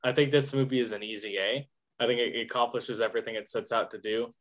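A man's voice: mid-range voice, steady tone, fast talker, fairly bright tone. A man talking casually about a film on a podcast, rambling and thinking aloud as he goes. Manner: rambling, lots of fillers and restarts, thinks aloud. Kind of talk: casual film review chat.

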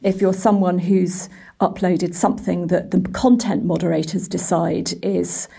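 A woman's voice: lowish voice, flat delivery, a little slow, vocal fry, dark tone, husky. A woman working as a journalist and editor, giving explanatory answers in an interview. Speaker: business and technology editor.

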